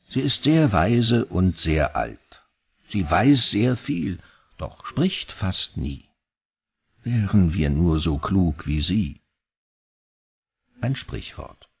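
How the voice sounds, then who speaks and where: A man playing a solemn reciter of old verse in a radio piece, gravelly and husky. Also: deep voice, dry recording, full character voice. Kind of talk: dramatic poem recital. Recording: dull, dry studio sound.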